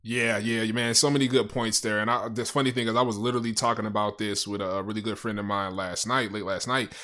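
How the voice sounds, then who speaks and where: A man's voice: fairly low pitch, steady tone, fast talker, bright, forceful tone. A man hosting a podcast in casual conversation, informal and emphatic, often agreeing and repeating himself. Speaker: podcast host.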